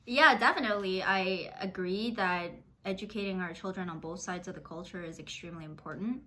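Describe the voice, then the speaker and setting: A woman's voice: fairly low pitch, fairly quick, pushed and bright. A young woman in her twenties, from Canada, chatting casually as an interview guest. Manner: chatty, many fillers.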